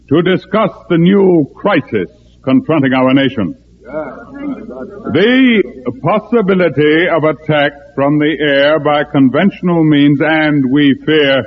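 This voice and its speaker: Oratorical scheming leader on tinny old radio. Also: male voice, on the high side. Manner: formal oratorical speechmaking. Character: smooth scheming male leader of false patriots. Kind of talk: 1950s radio drama acting. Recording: tinny band-limited old radio recording.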